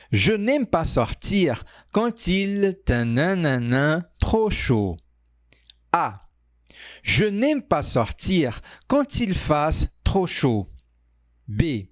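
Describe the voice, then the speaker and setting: A man teaching French, reading quiz sentences and answer options aloud slowly and carefully. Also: on the high side, no room sound, studio quality. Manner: reads sentences slowly, stretched out, pauses on options. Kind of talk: slow, clearly spoken quiz reading.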